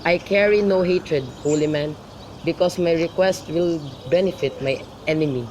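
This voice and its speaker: An impatient young lover acted by a man speaks in a slightly high voice. The lines are earnestly recited, as in a student radio drama. Faint outdoor noise and birds are heard behind him. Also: normal speed, a little mellow, muffled recording, lightly acted.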